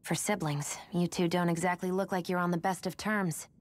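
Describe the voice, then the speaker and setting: A woman's voice: lowish voice, fairly even intonation, normal speed, lightly acted. An actress voicing a cool, suspicious young woman adventurer in a video game, speaking in a pointed, controlled and skeptical manner. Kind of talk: dramatic video game voice acting. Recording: game music under the dialogue.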